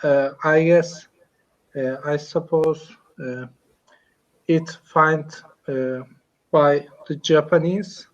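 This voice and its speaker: A man with a Turkish accent speaks English slowly and haltingly, with a somewhat high voice. He fills gaps with "uh" as he searches for words. The call audio is dull and muffled. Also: steady tone. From Turkey. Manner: hesitant, many fillers, searching for words. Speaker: English learner, computer engineer. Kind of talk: casual online English lesson chat. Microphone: video call.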